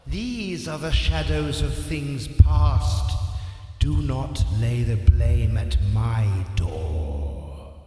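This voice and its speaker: A relentless, stern spirit, performed by a man, speaks solemnly in a radio drama. The voice is mellow and extremely slow, with a long cavernous reverb. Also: medium pitch, animated. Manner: solemn, drawn-out, commanding. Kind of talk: theatrical radio play acting. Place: live stage performance in a church. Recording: cavernous echo, faint music behind.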